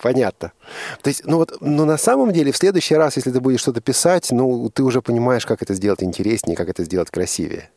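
A male radio host talks in a middle-pitched voice. He interviews conversationally, often breaking off and rephrasing. The studio sound is dry. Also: expressive, fast talker, warm tone, dry recording.

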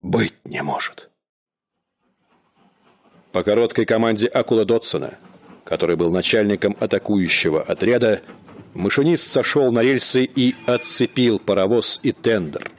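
A man narrating a radio play in a measured, dramatic storytelling manner. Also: lowish voice.

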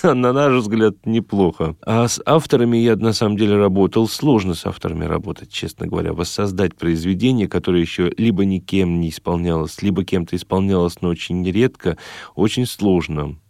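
A man speaking as an interview guest, reflecting on creative work and pausing to search for words. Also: low-pitched, gravelly, darkish tone, no room sound, studio quality. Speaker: guest, chamber singer.

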